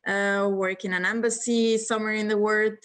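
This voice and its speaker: A woman's voice: medium pitch, little pitch movement, moderate pace, a bit bright. Italian-accented woman in her late twenties, guest on a video call, friendly and a little nervous. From northeast Italy. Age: 20s. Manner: friendly, nervous laughs, many fillers. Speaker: guest speaker.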